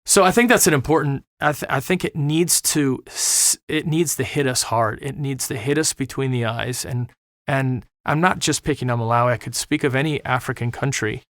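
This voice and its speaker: A man's voice: mid-range voice, moderate pace, hardly any pauses, a bit bright, dry recording, clean studio sound. A middle-aged missionary man in an earnest podcast conversation, talking with preacher-like passion and repeating words as he drives points home. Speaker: missionary guest.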